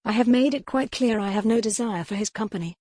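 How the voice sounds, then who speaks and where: A woman's voice: on the high side, fast talker, dark tone, dry recording, lightly acted. Female narrator playing young society lady, flustered but proud, formal, emphatic; romance audiobook, dry studio sound. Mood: indignant. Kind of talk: audiobook character acting.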